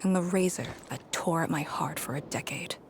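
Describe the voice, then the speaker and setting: A woman playing a battle-hardened female soldier in a video game, with a grave, measured and earnest delivery. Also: sits low, slight background noise. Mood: grave, resolute.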